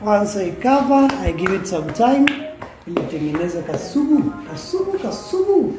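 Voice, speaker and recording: A man's voice: high voice, very animated, moderate pace, very noisy. A man with a Kenyan accent chatting casually as he cooks in a small home kitchen, mixing languages and half-singing repeated words. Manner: chatty, mixes Swahili and English, sing-song repeats words. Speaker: home cook vlogger. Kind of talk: casual cooking vlog. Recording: echoey kitchen with music behind.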